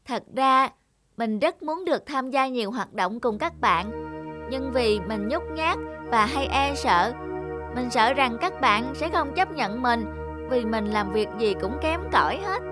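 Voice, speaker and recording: A high, youthful cartoon schoolboy, shy and unsure of himself. He speaks earnestly and hesitantly over clear background music. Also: expressive, a little slow. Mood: shy, confessing. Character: shy, self-doubting schoolboy longing for friends. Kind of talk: cartoon dialogue.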